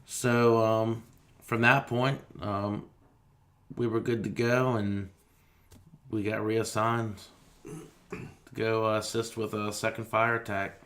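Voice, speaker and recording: A man's voice: fairly low pitch, little pitch movement, slow, pauses often, fairly bright tone. A man speaking as a firefighter in an interview, recounting his own experience in a plain, understated way.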